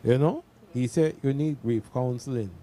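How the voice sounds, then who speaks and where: A man's voice: medium pitch, moderate pace, mellow. A man giving a casual personal account in a conversational way, often checking in with the listener. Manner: conversational, often adds "you know". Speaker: discussion participant.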